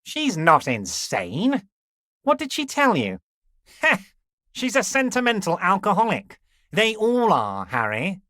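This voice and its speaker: Smug, animated old union boss. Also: male voice, high-pitched, takes it slowly, no echo, studio quality, full character voice. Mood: earnest, scheming. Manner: chummy, mock-innocent, sly. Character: smug, wily, folksy corrupt union boss, older man. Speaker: voice actor. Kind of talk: video game dialogue acting.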